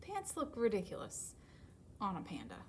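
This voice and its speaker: A woman voicing an exasperated, practical panda in a children's picture book, bossy and flatly contradicting. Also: medium pitch, moderate pace, background noise.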